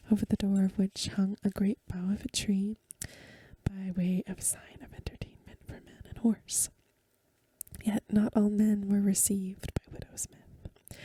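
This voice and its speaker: A woman softly whispering a story for ASMR in a breathy voice, with gentle, careful phrasing, close to the microphone. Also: medium pitch, no echo. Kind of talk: soft-spoken ASMR story reading.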